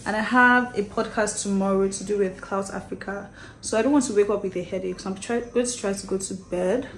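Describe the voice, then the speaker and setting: A woman's voice: medium pitch, steady tone, a little fast, roomy sound, slight background noise, low-quality recording. A young woman, chatting casually to a handheld camera at home in a vlog, full of "like" fillers. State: sick, congested. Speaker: vlogger. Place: bedroom and apartment at home. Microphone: handheld camera at arm's length.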